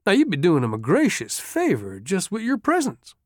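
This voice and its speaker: A man's voice: high voice, wildly swooping pitch, moderate pace, no room sound, clean studio sound, pitched above the performer's own voice, exaggerated voice. A man voicing a lean army sergeant in an audiobook, wheedling and deferential in a folksy way. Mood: coaxing, teasing. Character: coaxing, grinning, lean army sergeant, male aide.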